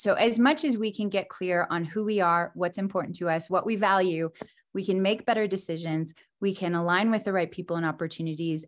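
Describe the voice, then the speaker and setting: A woman in her thirties, a little froggy from a recent throat infection, giving an earnest, upbeat talk as a guest speaker over a video call from her kitchen at home. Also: mid-range voice. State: recovering from strep throat and bronchitis, a bit froggy. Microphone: video call, laptop camera.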